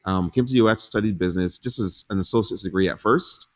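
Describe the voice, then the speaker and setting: Low-voiced relaxed man from Barbados. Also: fast, lo-fi sound. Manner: relaxed, laughs easily, frequent ums. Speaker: guest entrepreneur. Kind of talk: casual interview chat.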